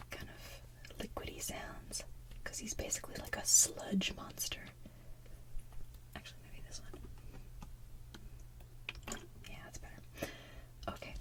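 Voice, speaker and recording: A woman doing casual ASMR chat in a breathy whisper, speaking in an informal, chatty way. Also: medium pitch, fairly bright tone, distant mic, low-quality recording. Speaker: ASMR host.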